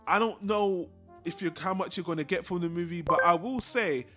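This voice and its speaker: A man's voice: sits high, moderate pace, pushed and bright, soft background music. A man co-hosting a casual film discussion, rambling and repeating himself for emphasis. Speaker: podcast co-host.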